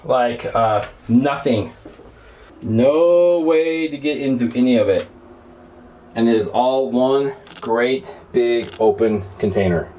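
A man's voice: medium pitch, rough recording. Man, 40s, casual do-it-yourself vlogger, easygoing and wry, close-miked in a small boat cabin, light background noise. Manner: easygoing, self-deprecating, lots of "um"s. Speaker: vlogger restoring a sailboat. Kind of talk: casual how-to vlog talk. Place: small cabin inside a sailboat. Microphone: camera close to the face.

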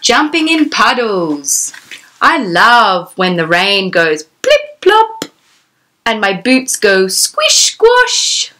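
A woman's voice: mid-range voice, sing-song, extremely slow, bright, forceful tone. A woman in her thirties presenting a children's storytime, reading aloud in an exclamatory, sing-song way and putting questions to the young listener. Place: small decorated room indoors.

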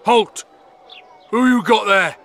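A sneering town guard in a fantasy game, highish and forceful, barks his lines. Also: slow, vocal fry, full character voice. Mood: gruff, scornful. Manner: barking, sneering. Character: brash, mocking town guard. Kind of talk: dramatic video game acting.

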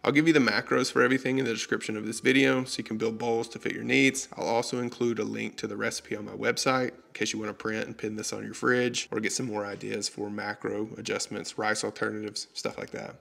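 Guy in his 30s doing a cooking video, lowish gravelly voice, steady friendly instructions. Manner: friendly, measured instructional delivery. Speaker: cooking channel host. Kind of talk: scripted recipe walkthrough. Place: home kitchen, indoors. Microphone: studio mic on stand in frame.

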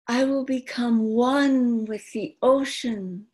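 An older woman of around seventy performing a dramatic, theatrical monologue in an expressive, deliberate manner. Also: a little high. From New York, United States. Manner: expressive, deliberate, acted-out delivery. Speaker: stage actress performing an autobiographical monologue. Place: indoor room at home, before a folding screen. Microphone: computer video call microphone.

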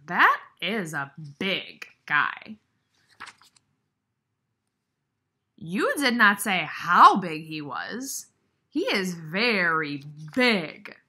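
Big male storybook elephant, played by a woman: anxious, indignant, loud and bright, stretching key words. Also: medium pitch, slight background noise, full character voice. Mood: awed, rattled. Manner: loud, emphatic, drawn-out exclamations. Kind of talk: children's storybook read-aloud.

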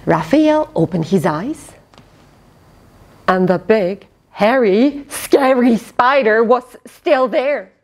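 A middle-aged woman reading a picture book aloud to children, expressive and teacherly, with questioning asides. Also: a little high, slow pace. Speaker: children's storyteller, host. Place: small indoor fabric tent with cushions.

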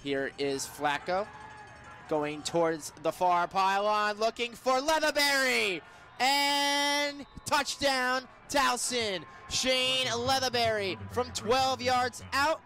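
Male radio play-by-play announcer, high-pitched, excitable football caller who draws out the big moments. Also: slow, faint music behind. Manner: excitable, shouts and stretches words on big plays. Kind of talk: live football play-by-play commentary. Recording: live radio sports broadcast.

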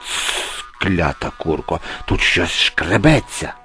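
A man's voice: sits low, expressive, slow, sharp tone, faint music behind, full character voice. A man voicing a sly, boastful street cat with a theatrical, bragging manner in a children's storybook. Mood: hushed, irritated.

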